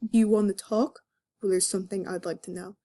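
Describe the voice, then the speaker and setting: A male cartoon fox, as a woman acts him out, earnest and hurt, speaking at a middling pitch for a female voice. The delivery is solemn, reproachful melodrama from a comic. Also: fairly even intonation, fairly quick, dark tone, close to an everyday voice. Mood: bitter, resigned. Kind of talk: melodramatic comic dramatic reading.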